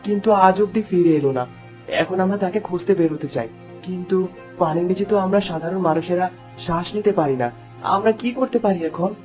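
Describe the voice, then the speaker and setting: A man's voice: high-pitched, fast talker, over music, close to an everyday voice. A man voicing an anxious, determined young prince in a fairy-tale cartoon, respectful and pleading. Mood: earnest.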